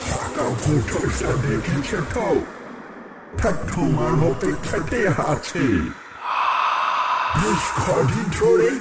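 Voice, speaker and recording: A man playing the sinister ghost of an English museum keeper in a horror cartoon, slyly courteous and ominous. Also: on the high side. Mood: menacing. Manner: slow, drawn-out, ominously courteous. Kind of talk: animated horror story acting. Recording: loud music bed under the voice. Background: music.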